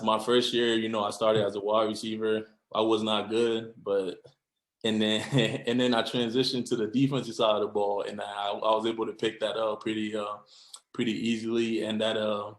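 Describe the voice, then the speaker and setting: Guest, young man from Cameroon: low, flat delivery, relaxed and rambling, echoey room. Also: normal speed. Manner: laid-back, lots of fillers and restarts. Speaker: guest, football player. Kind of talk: casual interview. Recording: roomy echo.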